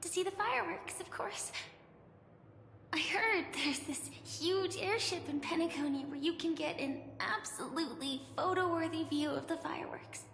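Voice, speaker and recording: An actress playing a gentle, shy, wistful young woman in a video game, soft-spoken and hesitant. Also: very high voice, normal speed, gravelly, slight background noise, heavily acted. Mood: softly pleased. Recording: reverberant hall echo, music behind.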